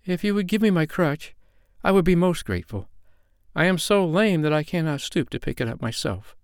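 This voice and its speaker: A man voicing a timid, gentle little girl in a storybook, speaking politely and earnestly. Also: high-pitched, big pitch swings, moderate pace, clean tone, no room sound, studio quality, voice pushed up.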